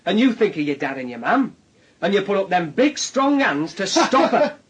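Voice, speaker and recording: An actor playing an insinuating police detective in a radio drama, sardonic and taunting, husky. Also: male voice, high voice, big pitch swings, normal speed, heavily acted. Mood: needling. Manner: sardonic, taunting, leading questions. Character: insinuating police detective, needling a young suspect.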